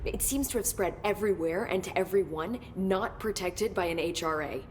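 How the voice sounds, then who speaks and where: Actress doing nervous young scientist lady in a game, lowish voice, halting and earnest, faint room noise. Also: lightly acted. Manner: rambling, halting, apologetic, earnest. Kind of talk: cinematic video game acting.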